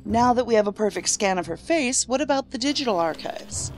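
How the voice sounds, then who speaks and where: An outspoken, inquisitive federal agent played by a woman in a science fiction audio drama. She is direct and conversational, with very varied pitch and faint music behind. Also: animated, fairly quick, natural voice.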